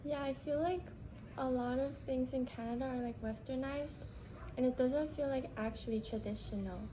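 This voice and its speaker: A woman's voice: high-pitched, moderate pace, dark tone, a little hiss or hum, poor audio. A woman giving casual interview answers, using frequent "like" fillers.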